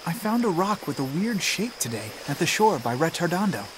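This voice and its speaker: A man's voice: on the high side, sing-song, a little fast, background noise. A man playing an earnest, protective teenage boy in a video game cutscene, caring, impassioned and a little awkward. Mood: awkward, tender.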